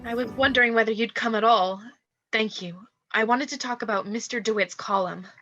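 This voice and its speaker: Bright, scheming young actress played by a woman. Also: on the high side, brisk, soft background music. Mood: meek, contrite. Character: scheming young actress, falsely meek, coolly blackmailing. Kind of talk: radio play acting. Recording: dull, lo-fi voice track.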